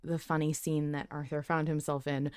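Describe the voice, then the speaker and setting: A woman's voice: low-pitched, little pitch movement, moderate pace, darkish tone, clear, resonant tone, no room sound, studio quality. A woman co-hosting a podcast, asking plain questions in a casual, self-deprecating way. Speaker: podcast co-host.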